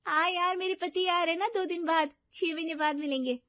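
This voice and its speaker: A woman's voice: very high voice, fairly even intonation, moderate pace, no echo, lo-fi sound, voice pushed up. A woman voicing a pampered, boastful young rich wife in a storybook tale, gushing in a showy way. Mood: happy, boastful.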